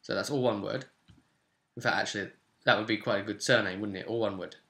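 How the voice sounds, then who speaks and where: A man's voice: on the low side, fairly even intonation, quick pace, pushed and bright. A young man hosting a casual tabletop role-playing tutorial as game master, chatty and given to jokey asides, recorded at home in a small room. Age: young adult. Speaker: game master and channel host.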